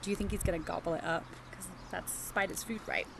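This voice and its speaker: A woman teacher doing an animated read-aloud of a picture book for young children, chatting and asking them questions as she goes. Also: on the low side, fairly quick, creaky, slight background noise. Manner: engaging the children with questions and tag questions.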